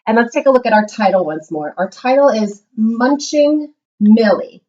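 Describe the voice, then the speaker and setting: A woman teacher giving a read-aloud lesson to young children, explaining simply and reading with expressive emphasis. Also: fairly high pitch, normal speed, lo-fi sound. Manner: instructional, simple explanations with check-ins. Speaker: primary school reading teacher.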